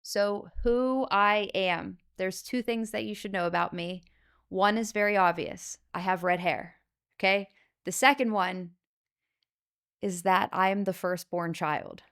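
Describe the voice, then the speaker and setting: A woman's voice: mid-range voice, a little slow, clear, resonant tone. A woman hosting her own podcast as a Medicare insurance agent, talking straight to the listener in an earnest, emphatic way with lots of "okay?" and "you know". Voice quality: loud voice. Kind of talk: solo podcast talk to the viewer.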